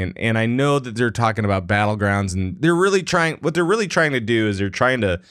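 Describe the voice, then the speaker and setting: A man's voice: on the low side, a bit bright, dry recording, studio quality. A man hosting a casual gaming podcast, talking loosely with lots of fillers and restarts. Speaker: podcast host.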